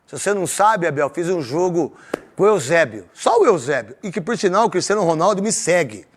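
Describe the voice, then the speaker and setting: A middle-aged Brazilian man hosting a sports talk show, loud, blustery and teasing. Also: high-pitched, very animated, fairly quick, a little hiss or hum. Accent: Brazilian Portuguese. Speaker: host.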